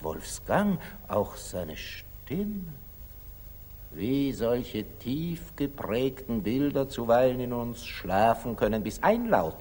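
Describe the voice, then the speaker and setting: An actor playing a wise, humane middle-aged merchant in a 1940s German radio drama, speaking in a measured, reflective, gently ironic way. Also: male voice, mid-range voice, slight background noise. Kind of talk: 1940s German radio drama acting, classical stage diction. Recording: 1940s radio recording, at times reverberant.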